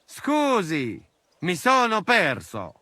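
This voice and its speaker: A crude, teasing rogue from a historical video game. His voice is high and bright, and he takes his time over his irreverent jibes. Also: huge pitch swings, slow, no room sound, full character voice. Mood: mocking. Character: crude, mocking Slavic rogue named Janosch, joking troublemaker.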